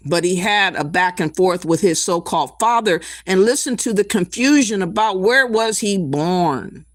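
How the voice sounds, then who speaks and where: A woman's voice: medium pitch, animated, no echo, studio quality. A woman hosting a reaction commentary, talking in a blunt, indignant way and stopping to cue up clips. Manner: blunt, indignant, slow deliberate delivery. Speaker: commentary channel host.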